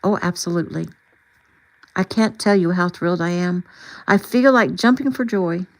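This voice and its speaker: A woman's voice: fairly low pitch, moderate pace, lightly acted. Woman reading as an effusive, earnest lady doctor. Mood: thrilled.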